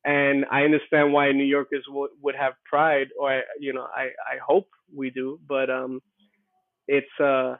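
A man's voice: mid-range voice, completely flat delivery, measured pace, no room sound. Give me a man from New York City as a podcast guest, talking casually and restarting his sentences often. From Queens, New York City. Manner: rambling, with many repeated false starts. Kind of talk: casual conversation. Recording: tinny phone-like line, dry.

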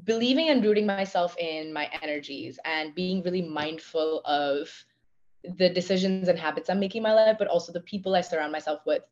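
A fairly low-voiced young woman with an Indian accent talks at length about herself in a podcast chat. She thinks aloud in long, winding sentences full of fillers. The audio sounds dull and lo-fi. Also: fairly quick, a little mellow. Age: 20s. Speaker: guest. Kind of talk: casual conversation.

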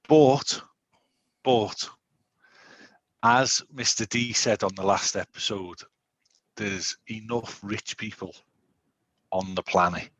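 A man in his forties, co-hosting a podcast in casual chat, rambling and animated with lots of restarts. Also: lowish voice, no room sound, low-quality recording. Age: 40s. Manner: rambling, animated, self-interrupting. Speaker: podcast co-host.

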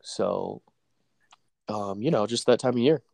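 A man's voice: on the low side, a little slow, vocal fry, darkish tone, dry recording. A young man with a stuffy nose from allergies, chatting casually about work and sports, saying "dude" and "bro" a lot. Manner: laid-back, says "dude" and "bro" a lot.

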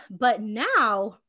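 Young woman, podcast co-host, nasal from a cold, rambling and upbeat, bright tone. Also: on the high side, breathy edge. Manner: chatty, rambling, frequent fillers and laughs. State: congested with a cold and cough. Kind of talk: casual podcast chat.

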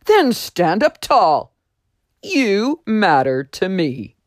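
Lady doing a kind creator voice on the wind, calm and tender, slow and lively in pitch, dry sound. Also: no echo. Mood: reassuring. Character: gentle loving creator voice on the wind. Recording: dry close studio sound.

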